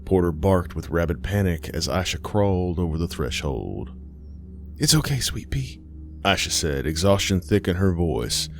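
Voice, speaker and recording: A man's voice: sits low, moderate pace, hardly any pauses, faint music behind. A man narrating a scripted horror story as an audiobook-style reader.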